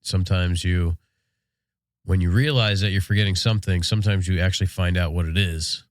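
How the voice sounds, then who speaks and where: A man's voice: sits low, steady tone, a little fast, no room sound, studio quality. An American man co-hosting a podcast in casual, laid-back shop talk, sounding congested from a sinus infection. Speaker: podcast co-host.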